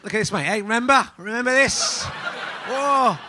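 A man's voice: very high voice, moderate pace, background noise. A man, hosting a live comedy podcast in front of an audience, teasing and laughing often. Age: 40s. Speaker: podcast host.

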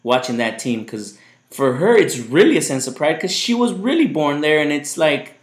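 A man's voice: on the high side, sing-song, normal speed. A man hosting a casual podcast chat, rambling loosely and repeating his words, whose first language was Spanish. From United States. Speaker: podcast host. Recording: echoey room.

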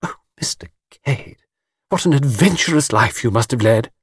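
A man's voice: mid-range voice, animated, brisk, husky, no room sound, studio quality. A man voicing a coy, breathless and gushing young woman tourist in an audiobook. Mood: fascinated. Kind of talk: audiobook character voice.

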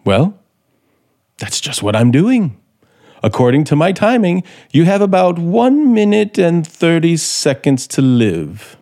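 A man's voice: on the high side, sing-song, takes it slowly, dark tone, exaggerated voice. A man acting in a radio drama as a bitter, vengeful scriptwriter with a sardonic, theatrical manner. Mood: menacing, sardonic. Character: bitter, vengeful male radio scriptwriter turned would-be murderer.

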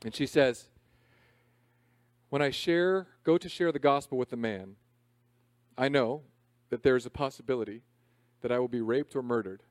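A man in his thirties preaching a sermon in a conversational, self-deprecating way, swinging between loud emphasis and quiet asides. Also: medium pitch, moderate pace, pauses often, no room sound, studio quality. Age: 30s. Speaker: pastor.

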